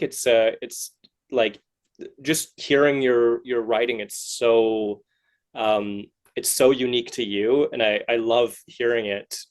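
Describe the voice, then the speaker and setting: A man hosting a podcast in a casual, halting way, often searching for words as he puts his questions. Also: medium pitch. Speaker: podcast host, interviewer. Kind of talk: casual interview chat.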